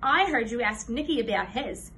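A scheming know-it-all schoolgirl from a children's storybook, put on by a woman reader. She is smug and teasing, confiding in a high voice that swoops about. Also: expressive, normal speed, bright, forceful tone, far from the mic, a little hiss or hum. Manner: teasing, confiding sales pitch.

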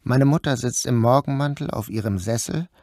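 An actor playing a troubled, dazed man who narrates his own day in a measured, literary radio drama style. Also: mid-range voice, darkish tone, no echo, studio quality, lightly acted. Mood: dazed, strained. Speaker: first-person narrator.

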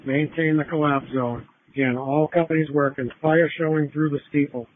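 A man's voice: on the high side, steady tone, moderate pace, slight background noise. A man working as a fire alarm dispatcher, giving formal announcements in short, repeated, clipped phrases over a two-way radio. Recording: narrow-band fire radio scanner audio.

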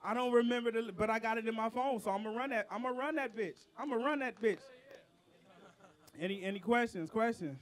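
A man, a rapper, chatting loosely and excitedly with his audience between songs at an outdoor backyard show, profane and quick in bursts. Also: very high voice. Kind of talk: casual banter with a live audience.

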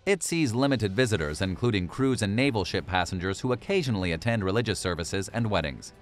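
A man's voice: fairly low pitch, lively intonation, quick pace, faint music behind. A man reading scripted documentary-style narration for a list video.

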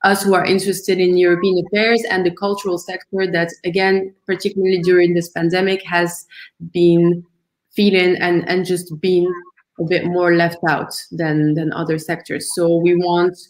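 A woman's voice: fairly low pitch, level tone, normal speed, echoey room, poor audio. A woman founder presenting her project on a video call, earnest and thoughtful, with frequent ums and restarts. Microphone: video call webcam.

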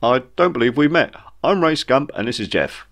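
A man's voice: medium pitch, animated, moderate pace, vocal fry, fairly bright tone, voice pushed up. A man voicing a timid, polite, chatty hairdresser who travels through space, in an audiobook story. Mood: nervous, polite. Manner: hesitant, polite, eager to please.

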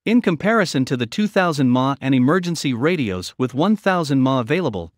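Man narrating products, lively pitch. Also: a little fast, dry recording, clean studio sound. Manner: reading from a script. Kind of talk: scripted promotional voice-over narration.

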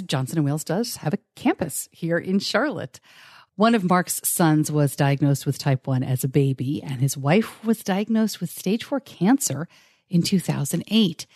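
A woman hosting a podcast, reading a sponsor spot and interviewing a guest in an upbeat, laughing manner from a home studio microphone. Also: dry recording. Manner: upbeat, laughs often, animated pitch swings. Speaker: podcast host.

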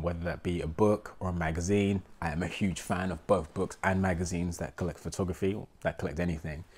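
A man in his thirties with a London accent, a portrait photographer vlogging to camera in a small home room, congested with a cold, talking in a relaxed, rambling, self-deprecating way. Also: sits low. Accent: London English. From England. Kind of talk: casual talking-to-camera vlog.

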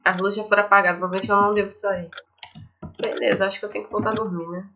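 A woman's voice: medium pitch, flat delivery, very fast, pushed and bright, slight background noise. A young woman with a Brazilian accent, chatting informally and reading aloud as she plays a visual novel. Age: young adult. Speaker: gaming video host. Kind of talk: visual novel let's play, casual commentary and reading aloud. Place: indoors at home, facing a webcam. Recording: muffled lo-fi mic, game music behind.

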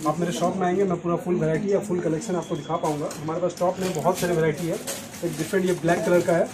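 A man's voice: high voice, flat delivery, fast talker, a loud crowd. A man in his thirties presenting clothing stock on camera in salesman's patter, mixing in English words. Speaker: garment wholesaler presenting stock. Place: small indoor clothing shop. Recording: clearly noisy shop audio with clicks.

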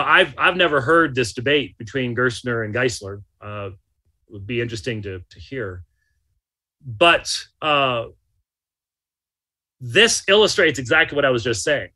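An older man commenting from inside a small vehicle, talking with animated emphasis and frequent restarts. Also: medium pitch. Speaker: podcast host. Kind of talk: casual commentary.

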